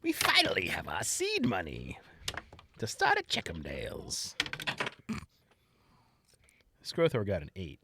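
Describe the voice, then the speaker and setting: Male tabletop podcast player, hugely animated casual banter, very noisy recording with clicks. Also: deep voice, slow pace, creaky, long pauses. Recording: loud background noise, clicks.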